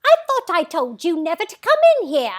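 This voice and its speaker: A woman's voice: very high-pitched, very animated, fairly quick, fairly bright tone, heavily acted. A woman voicing a brash, menacing British bully in a comic table read, with taunting, squawky exclamations.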